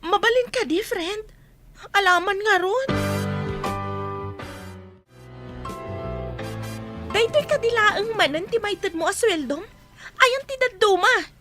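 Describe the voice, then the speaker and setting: A woman's voice: very high-pitched, expressive, normal speed, music in the background. A woman acting a struggling young mother in a radio drama, plaintive and complaining as she pleads. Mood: insistent.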